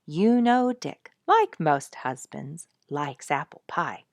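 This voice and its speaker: Woman acting a wry, animated storybook mother. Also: sits low, takes it slowly, no room sound, clean studio sound, full character voice. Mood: teasing. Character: wry, playfully long-suffering mother and pie baker.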